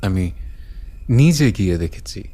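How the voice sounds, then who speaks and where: Slow, brooding actor as a male horror storyteller. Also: low-pitched, expressive, warm tone. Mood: grave, eerie. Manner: deliberate, suspenseful, with dramatic pauses. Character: brooding male storyteller recounting eerie legends to his friend. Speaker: narrator and lead character of a horror audiobook.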